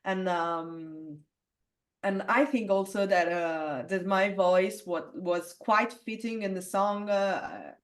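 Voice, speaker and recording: A woman from Italy who sings metal, talking through a video call in a casual interview. Her speaking voice is low and alto. She often pauses on "uh" and restarts her sentences. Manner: hesitant, many fillers and restarts. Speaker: metal singer, interview guest.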